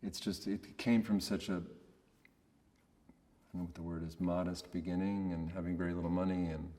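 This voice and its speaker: A man giving a casual, reflective interview in a monotonous voice, hesitant and understated as he searches for words. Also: sits low, moderate pace, big-room sound. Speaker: voice actor being interviewed.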